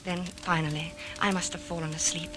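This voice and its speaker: An actress playing a worried, pleading wife in an old-time radio mystery, with dramatic, earnest delivery. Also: female voice, on the low side, little pitch movement, brisk, over music, lightly acted, low-quality recording. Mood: somber narration. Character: worried, pleading wife recalling her husband's strange death.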